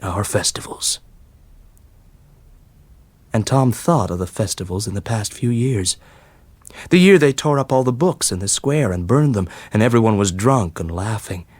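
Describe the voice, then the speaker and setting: A man reading a short story as scripted dramatic narration, measured and deliberate. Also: fairly low pitch, expressive, a little mellow, no room sound. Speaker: story reader.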